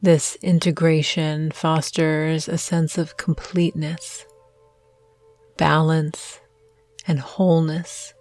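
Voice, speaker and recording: Female meditation guide, low register, unhurried and soothing, music underneath. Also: little pitch movement. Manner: soothing, gentle, measured with long pauses. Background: soft meditation music.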